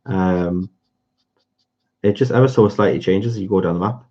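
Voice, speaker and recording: A man's voice: low-pitched, normal speed, dark tone. A man with a North East England accent, chatting casually as host of a colouring livestream, rambling and hesitant with many fillers. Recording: dull lo-fi sound.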